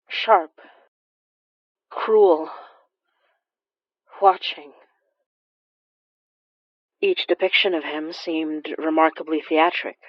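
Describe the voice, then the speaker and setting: A woman playing the grave first-person narrator of a horror audio drama, speaking deliberately with dramatic pauses. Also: low-pitched, no echo, highs cut off. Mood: ominous. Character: grave, watchful woman recounting an eerie supernatural encounter.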